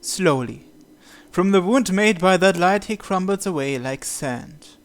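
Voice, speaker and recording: Clear, higher-pitched man reading narration. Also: lively intonation, measured pace. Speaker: video game player reading the script aloud. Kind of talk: narration reading of a visual novel.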